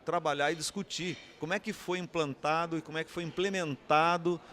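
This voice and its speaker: A man's voice: fairly high pitch, fairly even intonation, a little fast, fairly bright tone, slight background noise. A man with a Brazilian Portuguese accent giving an unscripted interview answer, explaining and often posing rhetorical questions. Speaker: interviewee, communications academic.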